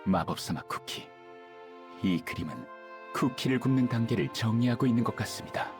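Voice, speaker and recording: A man's voice: mid-range voice, animated, fairly quick, over music, natural voice. A man voicing a polite, earnest scholar in a game cutscene, formal, thoughtful and worried as he reasons aloud. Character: polite analytical male living cookie scholar, earnest, worried. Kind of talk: scripted video game cutscene acting.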